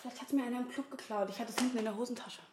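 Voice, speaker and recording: A woman's voice: fairly high pitch, fairly even intonation, rapid-fire, echoey room, background noise, natural voice. An actress in her twenties playing a defiant, evasive young woman in a naturalistic radio drama. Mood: agitated, irritated. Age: 20s.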